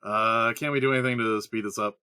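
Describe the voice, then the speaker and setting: A man's voice: lowish voice, fairly even intonation, brisk, bright, forceful tone, clean tone, dry recording, studio quality. A man playing an impatient, sneaky gnome sidekick in a comedic audio skit.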